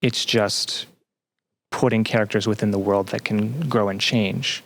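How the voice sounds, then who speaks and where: A man answering as a podcast guest in a conversational, explanatory way, often pausing to search for words. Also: lowish voice, fairly even intonation, creaky. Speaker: podcast guest, student game developer.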